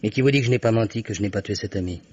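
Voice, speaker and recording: An actor playing an anxious, honourable man on the run in a French radio mystery, husky and earnest, on a dull old recording. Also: lowish voice, very fast, darkish tone. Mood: wary.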